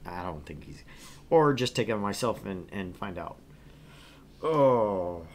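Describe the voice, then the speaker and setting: Casting: male streamer-narrator, very lively pitch swings, mid-range, casual, faint noise behind. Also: slow. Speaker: co-host of a gaming stream, reading the game aloud. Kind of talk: animated narration of a visual novel with casual asides.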